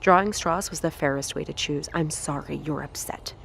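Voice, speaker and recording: An actress playing a cold, detached female scientist who speaks calmly and formally in an audio drama. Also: low-pitched, normal speed, creaky, slight background noise. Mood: cold, clinical. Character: cold, clinical female scientist, calm and unbending.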